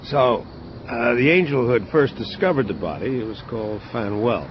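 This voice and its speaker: A man's voice: medium pitch, slight background noise, lightly acted. Man playing a grim hardboiled angel detective, muffled. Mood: wry, reflective. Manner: plain-spoken, terse, deliberate. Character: hardboiled male avenging angel detective, grimly determined. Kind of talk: hardboiled detective audio drama.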